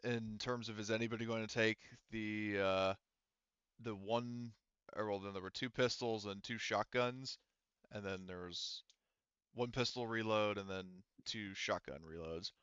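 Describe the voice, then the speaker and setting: Bright, clear-voiced man running a tabletop game. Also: on the low side, fairly even intonation, a little slow, no echo, highs cut off. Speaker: game master. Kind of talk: casual tabletop game narration and banter.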